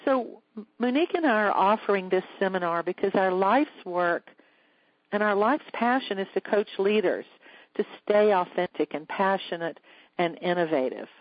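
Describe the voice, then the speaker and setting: A woman's voice: medium pitch, animated, no room sound, muffled recording. Earnest woman hosting a teleseminar. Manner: measured, earnest, scripted delivery. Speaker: leadership coach and seminar host. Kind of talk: teleseminar presentation.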